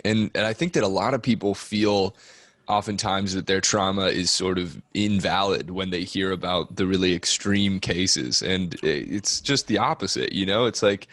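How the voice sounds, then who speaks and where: Chill podcast host guy, low voice, dry close sound, encouraging. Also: dry recording. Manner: relaxed, encouraging. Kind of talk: casual interview.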